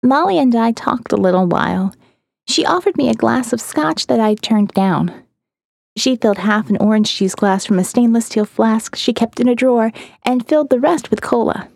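Gravelly-edged woman narrating an audio novel. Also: on the high side, expressive, normal speed, a little mellow, dry recording, studio quality. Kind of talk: scripted first-person dramatic narration.

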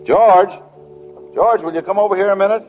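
A man's voice: high-pitched, normal speed, natural voice. An actor playing the steadying, protective middle-aged father of a bride in a 1940s radio drama. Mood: earnest, firm. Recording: dull 1940 radio, faint music.

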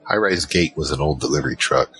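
Very deep-voiced hesitant storyteller guy, played by a man. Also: fast, a bit bright, a faint crowd. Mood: reflective, conversational. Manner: conversational, hesitant, searching for words. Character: tall, curious, hesitant awakened man recounting his adventure. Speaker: narrator and lead character. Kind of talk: in-character first-person scripted narration.

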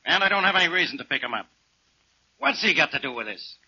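An actor playing an irritable, stubborn police inspector who blusters dismissively, in 1940s radio drama style. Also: male voice, a little high, expressive, fast talker, sharp tone, husky, exaggerated voice. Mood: exasperated. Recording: muffled vintage 1940s radio recording.

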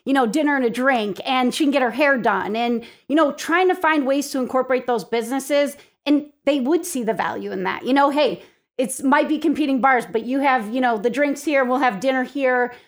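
A woman's voice: sits high, brisk. A woman giving enthusiastic, informal answers as a guest in a casual interview, speaking as the president of a local organization.

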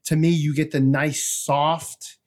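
A man with a somewhat high voice, talking through a beer's flavors in a relaxed, chatty way. He sounds casual and conversational. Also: takes it slowly. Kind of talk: casual chat.

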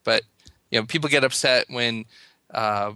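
American host, rambling casual talker, crisp and dry. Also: male voice, mid-range voice, moderate pace, bright, forceful tone, no echo. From United States. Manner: rambling, full of fillers. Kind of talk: casual podcast chat.

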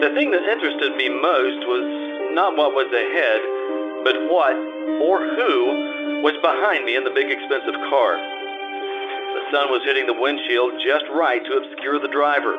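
An actor playing a wry, hard-boiled private detective in the style of 1950s radio drama, with clipped delivery. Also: male voice, medium pitch, lightly acted. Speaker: actor in an old-time radio troupe. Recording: muffled radio-style recording with music.

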